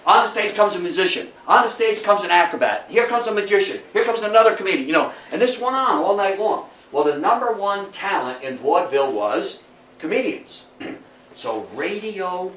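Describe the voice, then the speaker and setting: A man giving an informal public lecture, talking conversationally and haltingly and often putting questions to his listeners. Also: high voice, sing-song, a bit bright. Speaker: guest lecturer. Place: indoor meeting room, before a local audience. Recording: echoey room, distant microphone.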